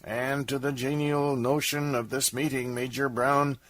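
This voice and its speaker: A man's voice: mid-range voice, little pitch movement, a little slow, fairly bright tone, no echo, voice pushed up, natural voice. A man voicing a grandiose, scheming frontier deacon in a comic verse recital, declaiming with pompous mock solemnity. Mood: grandly proclaiming.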